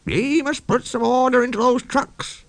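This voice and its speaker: A man's voice: very high-pitched, moderate pace, dry recording, voice pushed up. A man voicing a kind, friendly male Scottish steam engine giving advice in a children's audiobook. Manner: friendly, advising.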